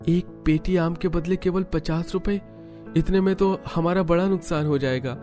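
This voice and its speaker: Young village son , male actor for a cartoon: higher male pitch, earnest, plaintive farmer. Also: fairly even intonation, moderate pace, dark tone, faint music behind, close to an everyday voice. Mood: pleading. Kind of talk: Hindi cartoon story dialogue.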